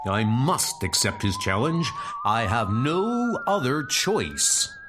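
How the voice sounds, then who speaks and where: A man's voice: medium pitch, sing-song, takes it slowly, over music. A man voicing a heroic, earnest thunder god superhero in a comic book dub, declaiming his lines. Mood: resolute.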